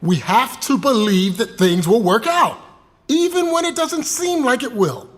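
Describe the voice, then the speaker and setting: A man's voice: very high-pitched, animated, measured pace, fairly bright tone, big-room sound, heavily acted. A man playing an anxious, well-meaning pastor in an audio drama, stammering as he tries to placate people. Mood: nervous, reassuring.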